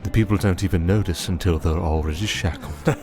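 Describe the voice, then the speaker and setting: A man playing a scheming, refined vampire archduke in an ASMR audio drama, speaking in a measured, formal, condescending way. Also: sits low. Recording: music bed under the voice.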